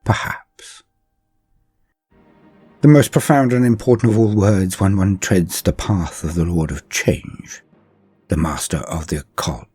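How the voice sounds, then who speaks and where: A man voicing an ancient, tormented sorcerer warlord in a grandiose horror audio tale, speaking slowly and solemnly with theatrical pauses. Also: sits low, warm tone, slightly rough, exaggerated voice. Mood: calculating. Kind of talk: dramatic first-person horror audio tale. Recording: music under the voice.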